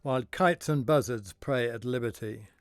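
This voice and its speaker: A man playing a gloomy, courtly lord in a Shakespearean audio drama, measured and theatrical. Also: mid-range voice, no echo, studio quality, lightly acted.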